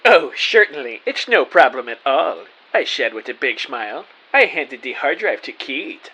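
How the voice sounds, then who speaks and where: A man's voice: mid-range voice, sing-song, normal speed, sharp tone, breathy edge, full character voice, poor audio. A man playing an earnest, nervous rookie detective, narrating an audio drama in the first person. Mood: upbeat, earnest.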